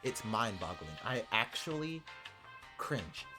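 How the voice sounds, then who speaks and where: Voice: mid-range voice, sing-song, slow pace. A gaming video host giving casual, slangy, sarcastic and mock-exasperated reaction commentary over gameplay. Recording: game music behind the voice. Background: game music.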